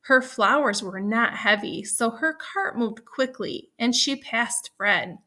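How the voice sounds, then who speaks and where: A woman teaching young children, reading a story aloud and explaining it in a deliberate, instructional way. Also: a little high, pushed and bright.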